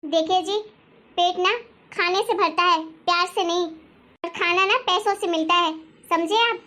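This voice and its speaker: Woman playing very high-pitched, sharp-tongued young wife. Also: steady tone, unhurried, heavily acted. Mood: defiant, demanding. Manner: scolding, sarcastic, argumentative. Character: angry, sharp-tongued young wife demanding her share. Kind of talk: acted dialogue in Hindi family story.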